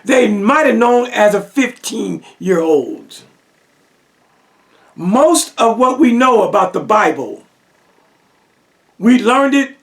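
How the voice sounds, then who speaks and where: Slow, gravelly high-voiced man lecturing. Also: recorded from a distance. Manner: emphatic, rhetorical questions, repetition for effect. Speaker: religious teacher. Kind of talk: lecture-style monologue.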